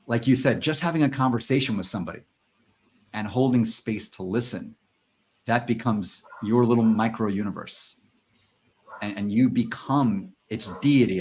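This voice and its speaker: A man's voice: on the low side, darkish tone. A man hosting a podcast, speaking earnestly and enthusiastically about ideas. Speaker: podcast host. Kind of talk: casual podcast chat.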